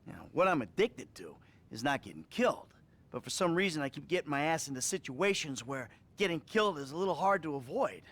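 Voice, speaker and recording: A man's voice: a little high, very animated, brisk, a bit bright. An actor playing a troubled middle-aged American criminal in a video game, rambling and profane as he confides in his therapist. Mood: defensive. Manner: profane, rambling, agitated, sighing. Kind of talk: acted video game cutscene dialogue.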